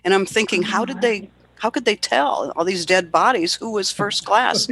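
A woman's voice: mid-range voice, normal speed, a bit bright, low-quality recording. A woman taking part in a book club, talking casually and offering her opinions with some teasing.

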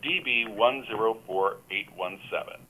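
A man's voice: on the low side, moderate pace, sharp tone, poor audio. A man reading scripted audiobook credits as an announcer. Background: music.

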